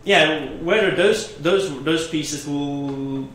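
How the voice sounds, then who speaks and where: A man's voice: fairly high pitch, takes it slowly, fairly bright tone. Echoey young man fielding conference questions. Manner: hesitant, many restarts and "you know". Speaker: conference presenter answering questions. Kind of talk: academic Q&A discussion. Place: conference lecture room. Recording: echoey hall with faint noise.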